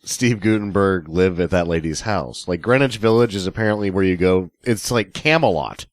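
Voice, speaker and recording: A man co-hosting a movie podcast with wry, deadpan jokes. His voice sits low. The recording is dry and close. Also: moderate pace, no room sound. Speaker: podcast co-host. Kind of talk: casual movie review chat.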